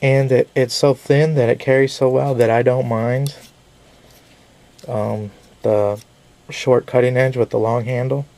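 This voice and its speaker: A man's voice: medium pitch, steady tone, unhurried, dark tone. A man, a little sick and losing his voice, giving a rambling casual knife review with frequent self-corrections.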